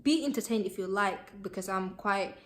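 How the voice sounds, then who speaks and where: A woman's voice: mid-range voice, normal speed. A young woman, a Somali speaker, chatting to camera as a vlogger in a bubbly, chatty way with many fillers. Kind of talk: casual chat to camera.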